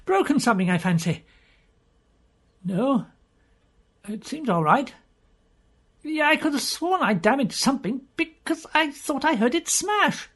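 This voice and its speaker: An excitable gentleman from a storybook mystery, acted by a male narrator. The voice is pitched high and swoops about animatedly. He is fussy and emphatic, exclaiming over every fanciful notion. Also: unhurried, pauses often, heavily acted. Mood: agitated. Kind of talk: audiobook character voice.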